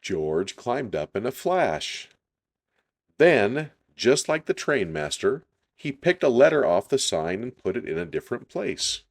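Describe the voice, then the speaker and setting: A man reading a children's story aloud in an unhurried, deliberate storytelling style. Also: medium pitch, big pitch swings.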